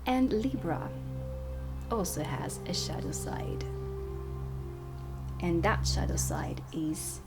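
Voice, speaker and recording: A woman playing a strict dark witch who teaches at an academy, speaking softly and deliberately in an ASMR roleplay. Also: fairly low pitch, lively intonation, loud music behind the voice. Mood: calm, instructive. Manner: slow, deliberate, instructive. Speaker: ASMR performer. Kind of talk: soft-spoken ASMR roleplay lesson.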